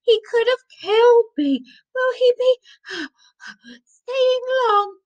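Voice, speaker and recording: A woman's voice: medium pitch, very animated, measured pace, lo-fi sound, pitched above the performer's own voice. A woman voicing a flustered, fretful father who complains and panics easily in a story. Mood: exhausted, fretful.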